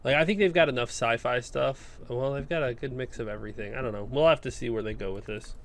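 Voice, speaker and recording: A man's voice: mid-range voice, fast talker, fairly bright tone. A man co-hosting a podcast, talking in casual conversational style. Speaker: podcast co-host. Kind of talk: casual chat.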